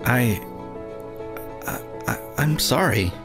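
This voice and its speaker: A man voicing an earnest, awkward, stammering young man in an animated fan series. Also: medium pitch, takes it slowly. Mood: earnest, indignant. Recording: background music under the voice.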